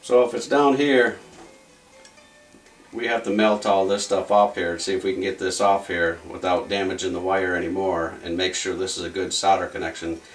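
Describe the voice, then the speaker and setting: Low-voiced man explaining a hands-on repair. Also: flat delivery, normal speed, faint music behind, rough recording. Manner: plain-spoken, explains step by step. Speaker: repair video host. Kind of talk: casual hands-on repair walkthrough.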